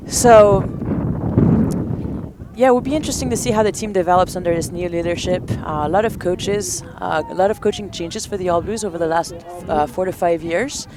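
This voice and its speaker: A woman's voice: lowish voice, brisk. Woman giving analytical rugby commentary outdoors. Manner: analytical, chatty with filler words. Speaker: color commentator. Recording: outdoor noise behind the voice.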